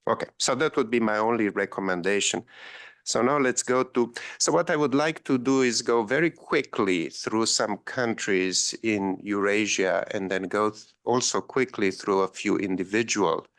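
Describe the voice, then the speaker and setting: A man's voice: on the low side, normal speed, a bit bright, no echo, studio quality. A man from Romania giving a policy panel talk, with frequent ums and wry asides. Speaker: panel speaker.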